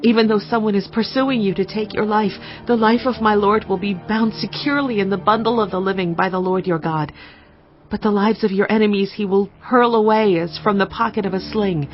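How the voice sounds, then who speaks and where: Woman playing humble wise biblical lady, earnest reverent measured delivery, audio drama w music behind, slightly dull sound. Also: medium pitch, steady tone, lightly acted. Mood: earnest pleading.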